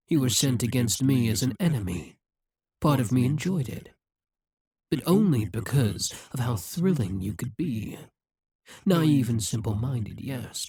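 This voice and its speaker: A man voicing a scheming, possessive male demon king in an audio roleplay, talking slowly and intimately into a close mic. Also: mid-range voice, dark tone, breathy edge, no echo, heavily acted. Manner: slow, measured, intimate delivery. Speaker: voice actor.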